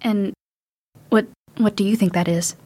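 A woman's voice: medium pitch, steady tone, a little slow, warm tone, husky. A woman playing a watchful survivor daughter in a post-apocalyptic audio drama. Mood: uneasy. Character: watchful daughter surviving alongside her father.

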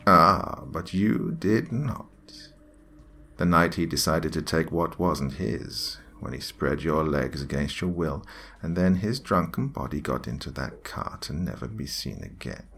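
A man voicing an evil alien demon queen in a horror story, with a raspy, slithering, mock-tender and menacing delivery. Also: extremely low pitch, unhurried, a bit bright, heavily acted. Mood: taunting.

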